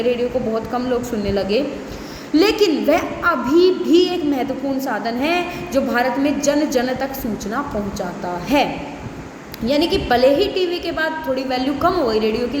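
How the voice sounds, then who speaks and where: High female voice, teacher explaining notes, restating points, very echoey room, faint background noise. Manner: explanatory, with frequent check-in tags and restatements. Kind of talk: educational lecture, reading notes and explaining them.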